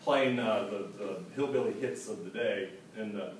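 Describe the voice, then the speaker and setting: A man in his forties, an author reading from his own book to an audience in a school hall, telling it in a lively, humorous way with asides. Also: lowish voice, moderate pace. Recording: echoey hall, distant mic, faint hiss.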